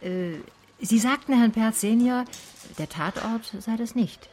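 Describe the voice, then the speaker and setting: A woman's voice: fairly high pitch, unhurried, darkish tone, slight background noise, natural voice. An actress playing a female private detective who narrates her case in a radio play in a matter-of-fact, laconic way. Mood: businesslike, hesitant.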